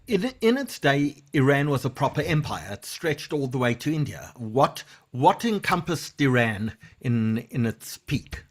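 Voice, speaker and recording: A man's voice: medium pitch, very animated, measured pace, hardly any pauses. A man with a mid-range voice interviewing a guest. He keeps his questions short and probing, picking up on points from the history being discussed.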